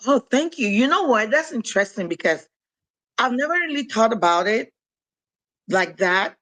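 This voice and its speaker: A woman's voice: a little high, big pitch swings, moderate pace, a bit bright. A woman from Cameroon with a Cameroonian accent, a guest on a podcast, talking earnestly and reflectively with small hesitations. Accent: Cameroonian English. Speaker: podcast guest, nurse and author. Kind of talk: casual conversational interview.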